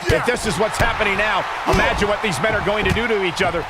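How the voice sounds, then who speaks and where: Man as very high-pitched, excitable wrestling announcer. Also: quick pace, natural voice. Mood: excited. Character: excitable male wrestling play-by-play announcer, earnest and dramatic. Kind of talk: sports commentary. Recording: loud arena noise behind commentary.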